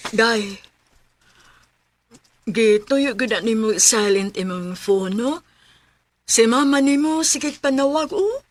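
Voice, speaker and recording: Chatty, high-voiced young Visayan friend. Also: female voice, slow, long pauses. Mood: chatty, friendly. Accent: Filipino, Visayan. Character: chatty, sociable young woman, a girlfriend introducing friends. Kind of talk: radio drama acting.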